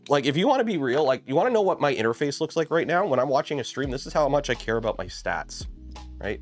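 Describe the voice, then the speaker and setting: A man hosting a casual livestream, chatting in a rambling way with many fillers and restarts while losing his voice. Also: medium pitch. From Canada. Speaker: livestream host building a 3D printer kit.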